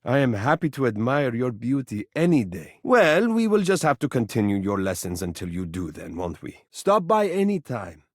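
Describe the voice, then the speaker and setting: A male actor voicing a suave living mirror in a video game, an adoring flatterer who gushes with theatrical drama. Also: medium pitch, normal speed, hardly any pauses, dry recording, clean studio sound. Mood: warm, admiring. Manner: effusive, dramatic, gushing, doting. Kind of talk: video game character voice acting, romantic.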